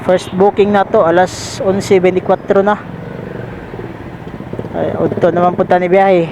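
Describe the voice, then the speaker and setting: A man's voice: sits high, level tone, measured pace, creaky. A Filipino man with a Bisaya accent chatting casually and informally to viewers as he rides, recorded on a helmet intercom. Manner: informal, repeats phrases, addresses viewers as pals. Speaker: motorcycle delivery rider vlogger. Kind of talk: casual vlog chat while riding. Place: outdoors riding a motorcycle in traffic. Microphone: helmet intercom microphone. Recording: helmet intercom with loud traffic noise.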